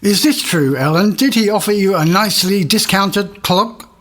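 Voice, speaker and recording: An actor playing a pompous, officious village court judge of olden times in a comic audio drama. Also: male voice, high voice, moderate pace, a bit bright. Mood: stern, officious.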